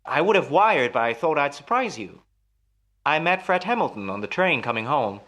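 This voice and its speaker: A man playing a smooth, solicitous husband in an old-time radio melodrama, soothing and patronizing with a quietly menacing edge. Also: fairly high pitch, very animated, normal speed. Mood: breezy.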